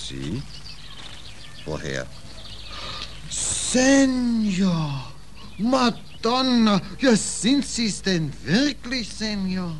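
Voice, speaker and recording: An actor playing an astonished, fussy port acquaintance in a 1940s German radio play, exclaiming and repeating himself. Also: male voice, sits high, huge pitch swings, extremely slow, pauses often, exaggerated voice. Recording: faintly noisy vintage radio recording.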